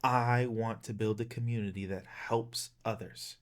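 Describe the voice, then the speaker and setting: A man's voice: fairly low pitch, steady tone, measured pace. Impassioned man in his thirties. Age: 30s. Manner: impassioned, emphatic, plain-spoken with occasional swearing. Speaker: vlogger. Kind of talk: earnest monologue to camera, personal rallying speech.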